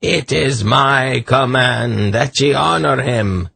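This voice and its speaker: A man voicing a deranged, imperious self-proclaimed god in a shrill voice, declaiming archaic commands for a fantasy storybook. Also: slow, vocal fry, pushed and bright, husky, no echo, heavily acted.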